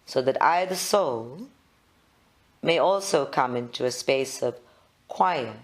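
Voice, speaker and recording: A meditation leader, giving measured guided instructions in short phrases with long pauses. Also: medium pitch, lively intonation.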